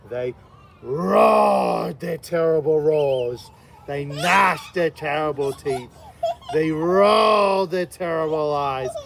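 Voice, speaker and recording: A man's voice: a little high, gravelly. A man reading a children's storybook aloud as a kindergarten teacher, dramatically stretching words for effect.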